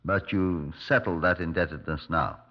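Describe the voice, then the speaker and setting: An actor playing a measured, courteous middle-aged British Scotland Yard superintendent, narrating and questioning in a 1950s radio drama. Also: male voice, low-pitched. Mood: probing. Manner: measured, polite, probing. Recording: muffled 1950s radio recording.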